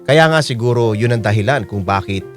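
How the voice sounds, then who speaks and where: An actor playing a lovestruck aging joker with a Filipino accent, confiding in a reflective radio drama monologue. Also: male voice, mid-range voice, animated, fairly quick, close to an everyday voice. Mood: sincere, wistful. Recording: guitar music under the monologue.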